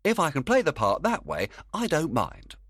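A reluctant young amateur actor voiced by a male narrator in a storybook. His voice is raised and animated, with a protesting, grumbling manner. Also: a little high, normal speed, dry recording, clean studio sound, pitched above the performer's own voice, exaggerated voice. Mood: grudging acceptance. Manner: complaining, protesting. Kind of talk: audiobook character dialogue.

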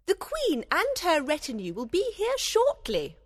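A woman voicing a proud, haughty little fairy attendant, speaking deliberately in an audiobook. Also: extremely high pitch, wildly swooping pitch, no echo, studio quality, big-room sound, heavily acted. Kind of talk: audiobook character dialogue.

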